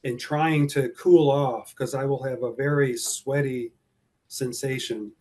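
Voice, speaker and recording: A man telling his own story in an interview. He speaks hesitantly and often stops to search for words. His voice sits at an ordinary middle pitch for a man. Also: fairly even intonation, measured pace, darkish tone. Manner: hesitant, many fillers and restarts. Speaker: guest describing his driving anxiety. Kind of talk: personal account in an interview.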